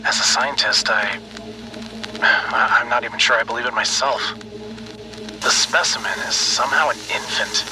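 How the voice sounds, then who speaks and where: A man's voice: medium pitch, fairly even intonation, moderate pace, long pauses, music in the background, close to an everyday voice. A man playing an earnest research scientist recording a secret log, speaking thoughtfully with some hesitation on a noisy recording. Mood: troubled, hesitant. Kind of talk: dramatic audio log narration.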